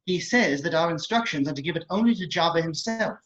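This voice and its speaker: A man's voice: fairly high pitch, expressive, fast talker, fairly bright tone. A man acts an anxious protocol droid in an amateur sci-fi table read. The manner is prim, formal and fretful. The audio is dull, like a video call. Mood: prim, polite. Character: fussy, anxious, polite male protocol droid.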